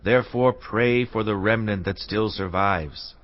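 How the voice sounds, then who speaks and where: A man's voice: on the low side, measured pace, lightly acted. An actor playing the anxious envoys of a besieged king, speaking solemnly and pleading. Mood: anguished. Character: distressed royal envoys of King Hezekiah. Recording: dull, distant-sounding audio.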